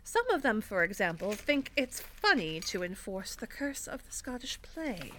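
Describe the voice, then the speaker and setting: A woman's voice: mid-range voice, sing-song, normal speed, bright, forceful tone, a little hiss or hum. A woman playing an exasperated, sardonic theater director in an audio drama, bossy but encouraging. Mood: exasperated, dry. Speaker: actor in an audio fiction podcast. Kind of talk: acted audio drama dialogue.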